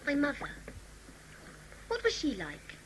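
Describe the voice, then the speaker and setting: A woman's voice: high voice, huge pitch swings, takes it slowly, a bit bright, a little hiss or hum, full character voice, low-quality recording. A woman acting a young, fiery, troubled adopted daughter in a sci-fi audio drama, earnest and pleading. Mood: hesitant, troubled.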